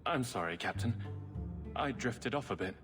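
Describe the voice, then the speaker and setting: A man's voice: medium pitch, expressive, moderate pace, music in the background, lightly acted. An actor playing a bewildered but polite male city guardsman, formal and deferential, in a fantasy video game. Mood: confused, uneasy. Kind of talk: cinematic game dialogue acting.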